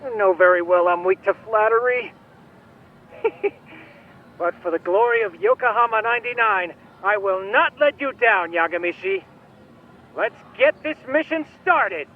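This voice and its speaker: A man's voice: high-pitched, a little slow, phone-quality sound. An actor playing a chatty, eager young male hacker in a video game, speaking in a formal, theatrical, wordy way. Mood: eager, flattered. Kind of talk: English video game voice acting.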